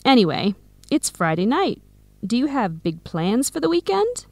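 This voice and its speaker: A supportive young woman chatting with a friend in a radio drama. Her pitch is on the high side and moves around a lot. She sounds friendly and conversational, in a dry recording. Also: sing-song, moderate pace, no room sound. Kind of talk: scripted radio drama acting.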